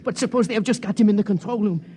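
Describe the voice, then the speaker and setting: An actor playing an eager, nervous man aboard a spaceship in a 1950s radio drama, earnest and excitable. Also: sits high, racing, natural voice. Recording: faintly noisy 1950s radio recording.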